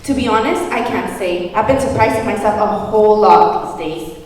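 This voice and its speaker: A woman's voice: mid-range voice, moderate pace, a little mellow, close to an everyday voice. A woman playing an anxious but defiant housewife in a table read of a film script. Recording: very echoey, distant, faint noise.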